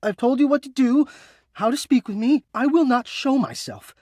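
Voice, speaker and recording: A man voicing an anxious, melodramatic, yearning male game character who hides away, with florid, halting, theatrical speech. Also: very high-pitched, lively intonation, a little mellow, dry recording. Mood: bitter, frightened. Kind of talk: video game character acting.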